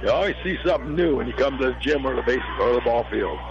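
A middle-aged American man with a hoarse, failing voice gives folksy live play-by-play commentary on a local volleyball game in short phrases. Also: mid-range voice, lively intonation, fast talker. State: hoarse, losing his voice from allergies. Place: high school gym. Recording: dull lo-fi radio broadcast sound.

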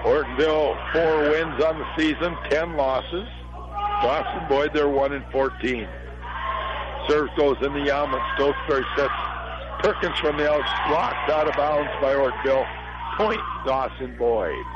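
A middle-aged American man with a hoarse, failing voice gives folksy live play-by-play commentary on a local volleyball game in short phrases. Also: fairly high pitch, fairly even intonation, unhurried, very noisy. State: hoarse, losing his voice from allergies. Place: high school gym. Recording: dull lo-fi radio broadcast sound.

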